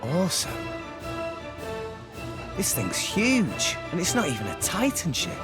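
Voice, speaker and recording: An actor voicing an eager, energetic young salvager. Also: male voice, high voice, a little slow, loud music behind the voice, heavily acted. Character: cheerful young male salvager. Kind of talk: video game voice acting.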